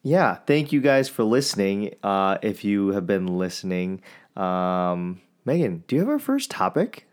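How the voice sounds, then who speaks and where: A man's voice: on the low side, very animated, unhurried, clear, resonant tone. A young man co-hosting a podcast, sniffly and laid-back, with a dry, teasing manner. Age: young adult. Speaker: podcast co-host. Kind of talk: casual podcast chat.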